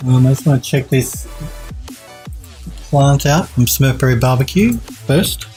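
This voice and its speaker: Man, 40s, stuffed-up nasal head cold, warm-toned enthusiastic streamer, faint music behind. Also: mid-range voice, a little slow. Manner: enthusiastic, repeats praise, restarts. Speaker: 3D art streamer reviewing viewer work. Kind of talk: casual live-stream critique. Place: home streaming room, indoors.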